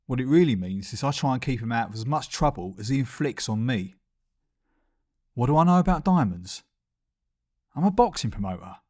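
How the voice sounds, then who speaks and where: A man's voice: medium pitch, darkish tone. A man playing a wry small-time London boxing promoter, narrating in crime comedy film style with a London accent.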